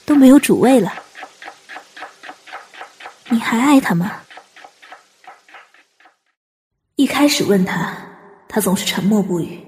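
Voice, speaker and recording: An actress playing a composed, ambitious young hotel cook in a Mandarin radio drama, measured and coolly cynical. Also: female voice, on the high side, a little mellow, slight background noise, close to an everyday voice. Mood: reflective. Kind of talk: Mandarin audio drama acting with narration.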